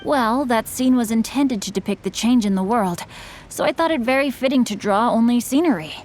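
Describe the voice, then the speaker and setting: A woman's voice: on the high side, animated, a little fast, a little mellow, slight background noise, close to an everyday voice. A woman playing a polite, bookish teenage boy novelist in a video game, speaking in formal, eloquent phrases. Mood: earnest, courteous. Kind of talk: video game character voice acting.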